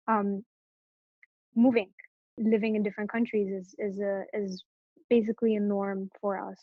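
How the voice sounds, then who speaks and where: A woman's voice: mid-range voice, no room sound. Hesitant young woman, dry lo-fi sound. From Morocco. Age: young adult. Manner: hesitant, many fillers and restarts. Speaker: interview guest. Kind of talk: casual interview chat.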